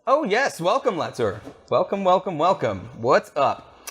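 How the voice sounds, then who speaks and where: A man's voice: fairly high pitch, huge pitch swings, measured pace, a bit bright, husky. A man co-hosting a casual gaming podcast from a living room couch, rambling with restarts and reading out viewer comments. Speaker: podcast co-host reading chat.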